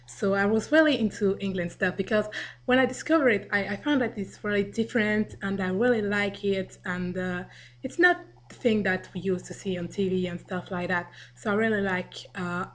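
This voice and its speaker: A woman's voice: medium pitch, normal speed. A young woman from Africa, chatting casually to a home webcam, rambling and laughing as she acts out quotes. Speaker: vlogger. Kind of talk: casual video response to the camera.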